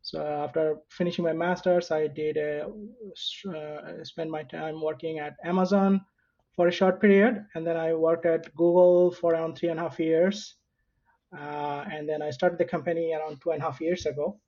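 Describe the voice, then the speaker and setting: A man with a Bangladeshi accent speaking casually as an interview guest, halting and correcting himself as he goes. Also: fairly high pitch, fairly even intonation, dark tone. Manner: halting, conversational, frequent self-corrections. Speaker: interview guest, software engineer and founder. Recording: dull, lo-fi remote connection.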